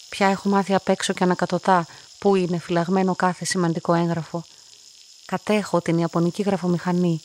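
An actress playing a quiet, lonely young woman who tells her own story in a radio play, in a calm, measured, reflective way. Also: lowish voice, lightly acted. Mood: composed, content. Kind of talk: radio play monologue, literary first-person narration.

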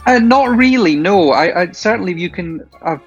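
A man's voice: sits high, animated, normal speed, husky, dull audio, soft background music. A man in his thirties with a Shetland accent, a friendly, chatty quiz contestant who hesitates now and then. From Shetland Isles, Scotland. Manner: friendly, chatty, laughs often, some hesitation. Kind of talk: casual interview answers.

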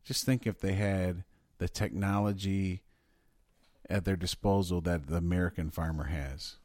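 A man co-hosting a podcast in casual chat, excitable and swearing as he riffs on questions. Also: sits low, a little slow, dry recording, studio quality. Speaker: podcast co-host.

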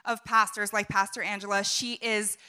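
A woman's voice: mid-range voice, level tone, normal speed, sharp tone, smooth, faint music behind. A woman in her thirties introducing the speaker, heartfelt and emotional, with many hesitations. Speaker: conference host introducing the speaker.

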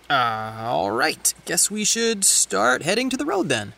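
A woman playing a sarcastic, protective teenage sidekick in a naturalistic audio drama, wry and sometimes halting. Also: low voice, sing-song, creaky, fairly bright tone, slight background noise, heavily acted.

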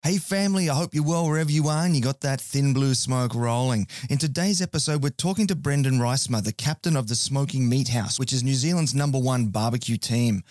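Hoarse upbeat podcast host. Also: male voice, mid-range voice, brisk, hardly any pauses, a little mellow, dry recording, studio quality. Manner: chatty, upbeat, self-mocking. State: hoarse from a cold. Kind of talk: podcast intro and sponsor announcements.

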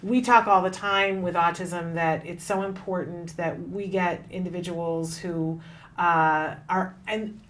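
A woman's voice: fairly low pitch, steady tone, measured pace, fairly bright tone. Woman hosting an interview, emphatic. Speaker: host.

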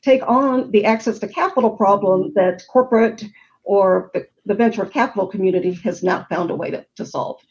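A woman's voice: mid-range voice, normal speed, a little mellow, muffled recording. A middle-aged woman on a webinar panel, earnestly explaining a problem and walking through statistics. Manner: earnest, explanatory, lists facts and figures. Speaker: panel guest on supplier diversity.

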